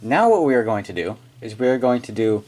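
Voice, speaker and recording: An American man giving a casual, halting, off-the-cuff hands-on product demonstration as he unboxes it on camera. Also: mid-range voice, lively intonation, a little mellow. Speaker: amateur unboxing video maker. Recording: noisy amateur room recording with clicking.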